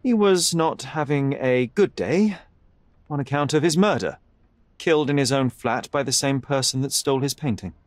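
An actor playing a young detective in a video game, measured, dry and probing. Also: male voice, medium pitch, no echo, lightly acted. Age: young adult. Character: cool, wry young male detective. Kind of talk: video game cutscene acting.

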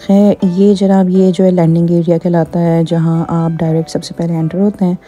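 A woman's voice: lowish voice, little pitch movement, moderate pace, warm tone, muffled recording, faint music behind. A woman vlogger talking her viewers through a show home, chatty and full of fillers as she walks with her phone. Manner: chatty, many fillers, code-switching into English. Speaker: vlogger giving a home tour. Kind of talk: casual vlog narration. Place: indoors in a show home, walking room to room. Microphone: phone held in hand.

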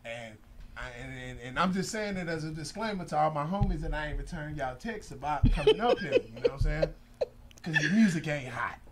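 A man's voice: fairly high pitch, lively intonation, a little fast, crowd noise. A man, hosting a casual studio interview, laid-back, full of fillers and slang. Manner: laid-back slang, many fillers and "you know what I'm saying". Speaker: radio show host. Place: small studio with backdrop, indoors. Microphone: desk mic on a stand.

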